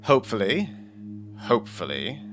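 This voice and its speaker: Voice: mid-range voice, big pitch swings, moderate pace, over music, lightly acted. An actor playing a sardonic, flirtatious demon in an audio drama, with arch, teasing and formal phrasing. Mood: wry, concerned. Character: sardonic, flirtatious, theatrical demon lover, worldly and weary.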